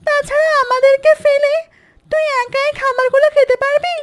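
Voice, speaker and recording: A woman's voice: extremely high pitch, fairly even intonation, moderate pace. A woman voicing a worried, protective cartoon mother duck who frets and scolds her young. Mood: scolding. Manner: fretful, admonishing.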